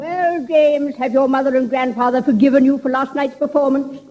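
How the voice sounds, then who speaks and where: An actress playing a fussy, genteel middle-aged spinster with a prim, flustered manner. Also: female voice, high-pitched, steady tone, moderate pace, over music, close to an everyday voice. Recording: old radio broadcast.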